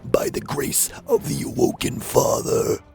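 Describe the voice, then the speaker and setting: A man voicing a defiant, sneering alien prisoner in a radio play, gravelly, spitting out long, mocking words. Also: a little mellow, faint music behind, lightly acted. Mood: contemptuous, boastful. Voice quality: gravelly, creaking. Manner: spitting words out, drawn-out mockery.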